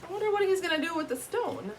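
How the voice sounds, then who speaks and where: A woman reading a picture book aloud in an animated, teacherly way, pausing to put questions to young listeners. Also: extremely high pitch, a little hiss or hum.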